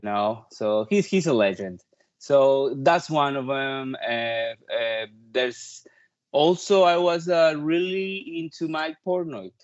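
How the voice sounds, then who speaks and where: A man's voice: mid-range voice, takes it slowly, dull audio. A man in his thirties from Peru, a native Spanish speaker, chatting casually and talkatively as an interview guest. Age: 30s. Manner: chatty, peppered with "like" and "you know". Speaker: interview guest, touring drummer.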